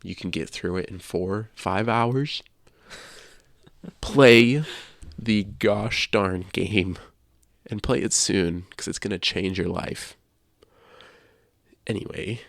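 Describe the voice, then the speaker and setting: Low, gravelly male podcast co-host. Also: slow, pauses often, no room sound, clean studio sound. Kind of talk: casual podcast chat.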